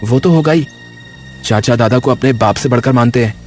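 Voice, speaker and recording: A man's voice: mid-range voice, fairly quick, darkish tone, soft background music, lightly acted. A man playing loyal, playful village boys in an audio story, chatting in a brotherly way. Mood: chatty, affectionate. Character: two loyal, playful young village cousins. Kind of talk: Hindi audio story drama.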